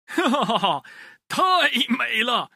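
Storybook villain played by a male narrator: sly greedy woodcutter, exclamatory, wildly swooping pitch. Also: very animated, takes it slowly, vocal fry, pushed and bright, breathy edge, no echo, clean studio sound, full character voice. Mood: gleeful. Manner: gleeful, exclamatory, cartoonishly sly.

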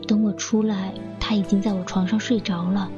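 Actress as earnest young daughter over music. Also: female voice, medium pitch, level tone, moderate pace, lo-fi sound, lightly acted. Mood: subdued, cooperative. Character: soft-mannered young woman, daughter of a professor. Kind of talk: radio drama acting.

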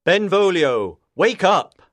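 A woman voicing an eager, commanding male emperor who speaks up loudly. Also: low-pitched, animated, slow pace, dry recording, clean studio sound, pitched below the performer's own voice. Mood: calling.

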